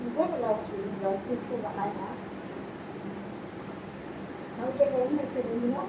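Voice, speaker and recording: Higher-voiced woman in a roomy, noisy recording. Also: normal speed. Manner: chatty, repeatedly asking viewers for their opinion. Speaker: vlogger. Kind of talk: casual shopping vlog chat to viewers. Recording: roomy, distant mic, clear background noise.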